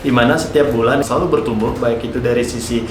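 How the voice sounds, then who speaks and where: A man's voice: mid-range voice, quick pace, background noise. Man in an echoey room. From Bali, Indonesia. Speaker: startup founder and CEO being interviewed. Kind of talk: explanatory interview answers. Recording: roomy echo with music behind.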